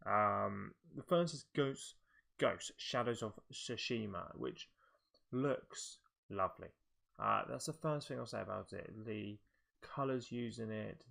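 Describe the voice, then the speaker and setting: A man's voice: fairly low pitch, measured pace, creaky, a bit bright. A man giving casual video game commentary, thinking aloud in a hesitant, rambling way. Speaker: video game show presenter.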